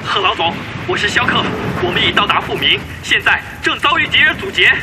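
An actor playing an embattled army officer reporting urgently from the front in a radio drama. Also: male voice, high voice, lively intonation, fairly quick. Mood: pressing, tense. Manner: urgent, pressing. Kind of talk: Chinese radio drama acting. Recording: loud battle noise behind voice. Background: noisy battlefield ambience.

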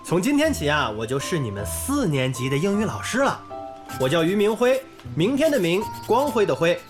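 A man's voice: high-pitched, big pitch swings, normal speed. An actor playing a kindly, earnest young male English teacher in a radio drama, with an encouraging manner. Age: young adult. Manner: clear, encouraging classroom delivery. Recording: music under the dialogue.

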